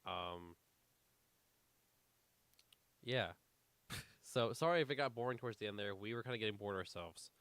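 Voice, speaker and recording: A man's voice: lowish voice, moderate pace, pauses often, fairly bright tone, clean tone, no echo. A man co-hosting a casual podcast, rambling with lots of fillers, a little congested. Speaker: podcast co-host. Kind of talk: casual chat.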